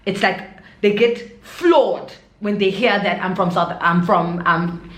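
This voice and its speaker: A woman's voice: medium pitch, takes it slowly. A woman with a South African accent telling stories casually and expressively as a vlogger, dropping in Zulu interjections. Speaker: vlogger, expatriate teacher. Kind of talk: casual chat to camera. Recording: echoey room.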